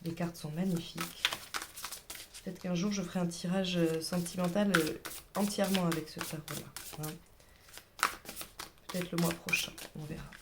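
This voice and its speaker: A woman's voice: on the low side, steady tone, normal speed, pauses often, heavy background noise. A woman with a cold giving a casual tarot reading, chatty and hesitant, sometimes dropping to a whisper. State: has a cold. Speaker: tarot reader.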